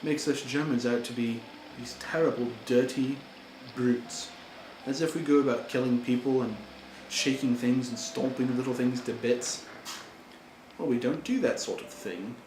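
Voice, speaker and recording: An earnest, indignant German-born immigrant makes his plea, as a man acts him out, with an ordinary mid-pitched voice and no accent. The room rings with echo, and steady noise sits behind him. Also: normal speed, natural voice. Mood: pleading. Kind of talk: amateur historical role-play monologue. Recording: echoey room with background noise.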